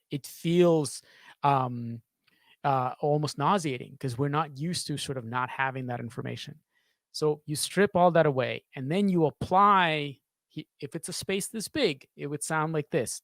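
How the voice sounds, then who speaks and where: A man in his thirties giving thoughtful interview answers as a sound designer, halting and repeating phrases as he searches for words, close on a studio mic in a small home studio. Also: a little high, a bit bright, no echo. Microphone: studio microphone on a boom arm, close.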